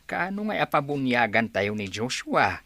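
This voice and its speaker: Man acting an excitable doting old grandfather, lively pitch. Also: normal speed, no room sound, heavily acted. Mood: cheerful, curious. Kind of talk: Ilocano radio comedy drama acting. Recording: noisy track with clicks.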